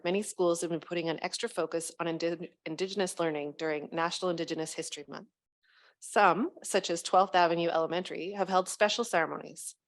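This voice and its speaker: A woman reading a scripted school district news roundup in a formal manner. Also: on the low side.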